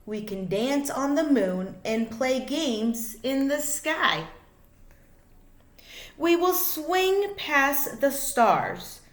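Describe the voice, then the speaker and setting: A woman reading a children's picture book aloud like a teacher, in an expressive, sing-song way with drawn-out words. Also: sits high. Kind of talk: read-aloud children's storytelling. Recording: echoey room.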